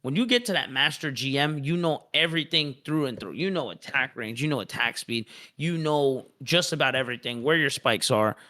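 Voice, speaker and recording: A man's voice: medium pitch, animated, brisk, few pauses, sharp tone. A man hosting a casual esports live stream, upbeat and often repeating his words for emphasis. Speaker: esports league host.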